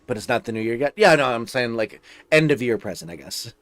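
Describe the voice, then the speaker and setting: A man's voice: mid-range voice, expressive, fairly quick, fairly bright tone, no echo. A young man with a slightly nasal voice streaming live, chatting casually and rambling with lots of filler words and restarts. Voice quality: a bit nasal.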